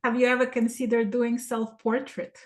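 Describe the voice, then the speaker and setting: A woman's voice: a little high, little pitch movement, moderate pace. A woman hosting an interview, a non-native English speaker, asking curious, friendly questions. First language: not English. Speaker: host. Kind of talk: casual interview.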